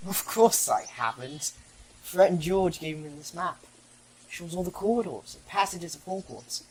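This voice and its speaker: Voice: medium pitch, normal speed, a little mellow, lightly acted, low-quality recording. An actor playing a casual, defiant schoolboy in an audio drama. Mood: defensive. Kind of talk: amateur audio drama acting. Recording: faint background noise.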